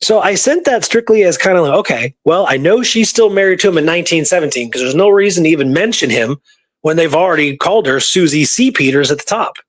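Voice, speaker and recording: A man's voice: a little high, lively intonation, quick pace. A man talking casually through historical research, rambling and informal, repeating points for emphasis. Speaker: history researcher and show guest or co-host.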